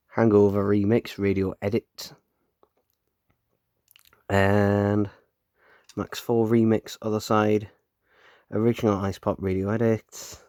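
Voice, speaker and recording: Croaky British man unboxing a cassette. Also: on the low side, a little mellow, dry recording, studio quality. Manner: rambling, filler-heavy, self-correcting. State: croaky from hay fever. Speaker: unboxing vlogger. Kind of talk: casual unboxing chat. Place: room at home with window open.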